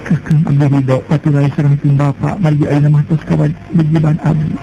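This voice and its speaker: A male storyteller voicing a young wife who is tender, anxious and devoted, with a soft, pleading manner. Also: fairly high pitch, level tone, fairly quick, vocal fry, slight background noise, pitched above the performer's own voice. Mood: sorrowful pleading. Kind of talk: Sundanese storytelling. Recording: dull lo-fi sound with music behind.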